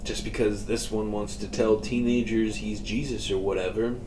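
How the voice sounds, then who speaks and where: A man's voice: on the low side, fairly even intonation, moderate pace, a little mellow, close to an everyday voice. Man reading as a weary, muttering young stoner. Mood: muttering, annoyed. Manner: casual, muttering, profane.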